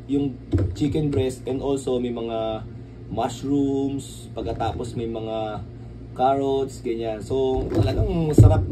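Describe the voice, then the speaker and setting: A man with a Filipino accent chatting casually through an unboxing vlog, rambling and often checking for agreement. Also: mid-range voice. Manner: rambling, repeats tag questions like asking for agreement. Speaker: vlogger. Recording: clearly noisy, distant microphone.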